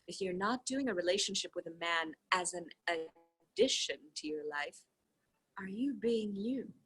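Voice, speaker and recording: A woman's voice: fairly low pitch, animated, unhurried, a bit bright, breathy edge, faint music behind. A woman reading a conversational self-help book aloud, voicing its questions and answers with expression. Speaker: reader of a book read-along.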